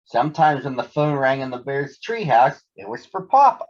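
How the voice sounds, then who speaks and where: A man reads a picture book aloud for children in a husky voice. His delivery is expressive, with theatrical pauses. The recording sounds a bit dull and lo-fi. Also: medium pitch. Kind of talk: read-aloud children's story narration.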